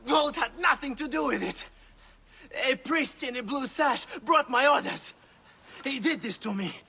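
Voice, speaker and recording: An actor playing a frightened, suffering man in a video game, his voice strained and gravelly. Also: very high-pitched, expressive, unhurried, sharp tone, exaggerated voice. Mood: pained, fearful. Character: frightened, suffering man confessing under threat. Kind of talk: dramatic video game acting.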